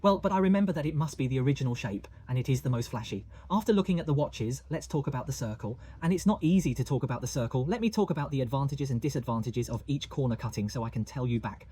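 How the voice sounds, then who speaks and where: Mellow-voiced man explaining diamond prices. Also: mid-range voice, lively intonation, fast talker, few pauses, breathy edge. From Hong Kong. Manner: chatty, asks the viewer questions. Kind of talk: explaining price charts to camera.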